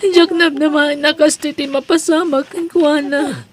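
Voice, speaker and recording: An actress plays a devoted young woman with a high voice and an Ilocano accent. She is protective and anguished, speaking with earnest emotion in a radio-style melodrama. Music runs underneath. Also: steady tone, unhurried. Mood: grieving.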